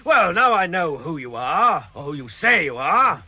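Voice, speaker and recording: An actor playing a huge, gruff, suspicious man in a 1960s radio drama, blunt and boisterous. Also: a little high, sing-song, moderate pace, sharp tone, no room sound, heavily acted. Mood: gruff, questioning. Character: huge gruff suspicious skin-changer man with booming laugh. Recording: vintage radio production with music.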